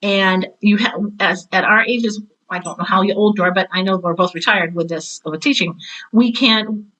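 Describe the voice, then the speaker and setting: A woman in her sixties, a retired teacher, chatting casually as an interview guest in an opinionated, animated way. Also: mid-range voice, normal speed, poor audio.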